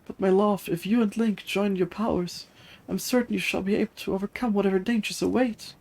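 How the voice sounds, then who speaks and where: A streamer voicing a gentle, devoted fish-woman noble from a video game, courtly and earnest in manner. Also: mid-range voice, steady tone, brisk, gravelly, voice pushed up. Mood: tender, concerned. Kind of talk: reading video game dialogue aloud in character.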